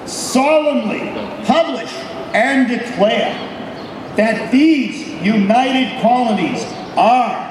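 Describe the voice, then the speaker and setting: High-pitched man declaiming slowly, echoing from afar. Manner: loud, solemn, oratorical, with deliberate pauses between phrases. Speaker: military company captain giving a ceremonial reading. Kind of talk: formal public declamation of a historical proclamation. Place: public ceremony at an old statehouse. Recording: distant, echoey public address with background noise.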